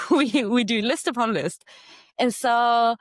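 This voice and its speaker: A woman, telling her story as a podcast guest in a measured, thoughtful way. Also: fairly high pitch, no echo, clean studio sound. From Argentina. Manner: measured and thoughtful, with some fillers and light laughs. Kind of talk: casual interview storytelling.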